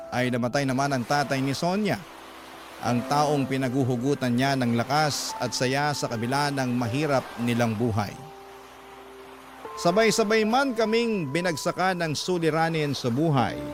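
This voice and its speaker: A man's voice: mid-range voice, lightly acted. A man narrating as an earnest young letter writer. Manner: earnest, confiding. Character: young man recounting his past. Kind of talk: scripted dramatic narration.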